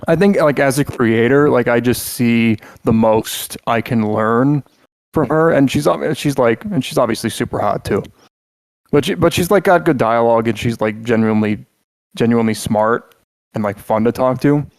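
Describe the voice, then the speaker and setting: Guy cohosting a podcast, rambly and jokey, lots of likes, mid pitch, dead dry sound. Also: normal speed, dry recording. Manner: rambling, joking, full of "like" and restarts. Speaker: podcast co-host. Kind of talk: casual chat.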